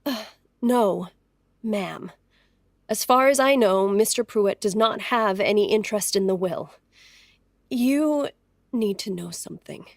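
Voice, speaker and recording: An actress playing a timid, polite young former beauty queen, halting and deferential, in a period audio drama. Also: mid-range voice, lively intonation, vocal fry, dry recording, clean studio sound. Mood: nervous, hesitant.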